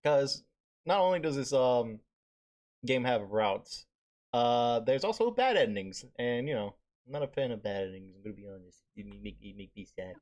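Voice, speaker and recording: A man's voice: mid-range voice, animated, moderate pace, a little mellow. A male streamer reading a visual novel aloud with casual asides, stumbling over words and swearing casually.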